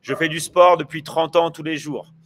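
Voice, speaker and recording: A man's voice: a little high, fairly even intonation, moderate pace, a bit bright, slight background noise. A man in his forties hosting a casual livestream, chatting loosely with viewers and rambling with many hesitations and restarts. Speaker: livestream host.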